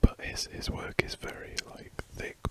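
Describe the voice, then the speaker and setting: A man talks in a breathy, unvoiced whisper right up against a close mic. He drifts from thought to thought and restarts his phrases as he works on a drawing. The soft ASMR delivery stays hushed throughout. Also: normal speed. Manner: soft rambling whisper with self-corrections. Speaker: ASMR drawing channel host. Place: indoors at a drawing desk.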